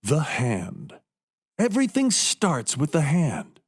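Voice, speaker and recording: A man's voice: fairly high pitch, wildly swooping pitch, slow, breathy edge, exaggerated voice. An actor playing a zealous, boastful doctor in a radio play, explaining his work with theatrical emphasis. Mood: fervent, persuasive. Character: zealous, boastful male doctor pitching experimental prosthetics.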